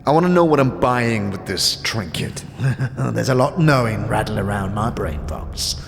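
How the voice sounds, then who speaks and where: An actor playing a withered, sly and contemptuous old underworld boss in a fantasy audio drama, creaky and often dropping into breathy, taunting whispers. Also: male voice, mid-range voice, lively intonation. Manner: theatrical, taunting, drawn-out phrases with street slang. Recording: long hall reverb, music behind.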